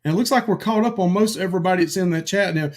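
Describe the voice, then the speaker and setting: A man's voice: sits high, racing. A man co-hosting a live stream in casual chat, greeting viewers by name with lots of fillers while he is losing his voice. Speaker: livestream co-host.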